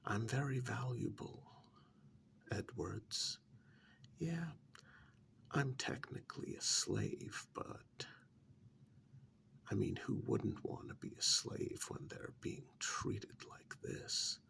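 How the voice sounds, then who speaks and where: Casting: male actor for smug, sardonic pampered captive, ASMR role-play. Low, very gravelly murmur, unhurried drawl. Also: lively intonation, heavily acted. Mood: smug, boastful. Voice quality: soft, half-whispered, gravelly.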